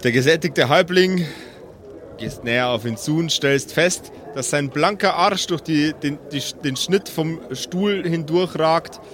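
A man's voice: on the high side, measured pace, a bit bright. A man narrating an improvised tabletop game as game master, often repeating words as he feels his way forward.

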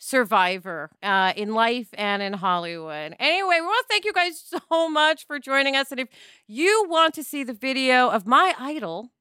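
Woman, higher-pitched, very bright tone, dry close sound, candid and emphatic podcast chat. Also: big pitch swings, moderate pace, clear, resonant tone, dry recording. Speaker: podcast host. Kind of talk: casual podcast discussion.

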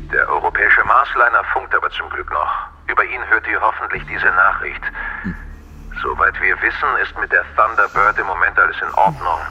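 An earnest space mission controller sending a grave message in an audio drama. His voice is low and very raspy, coming through a dull radio link. He speaks in a measured, deliberate way. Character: earnest male mission control radio operator delivering urgent warning. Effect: radio transmission filter. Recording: rough, dull radio transmission sound.

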